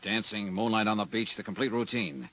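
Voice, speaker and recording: An actor playing a sardonic, hard-boiled insurance investigator in a 1950s radio drama, clipped and wisecracking. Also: male voice, fairly low pitch, brisk, a bit bright, close to an everyday voice. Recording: old radio broadcast recording.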